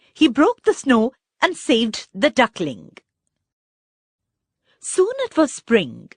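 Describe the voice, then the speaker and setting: Slow, very animated woman storyteller. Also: on the high side, no echo, clean studio sound. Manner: expressive, deliberate storybook delivery. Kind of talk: scripted narration of a children's story.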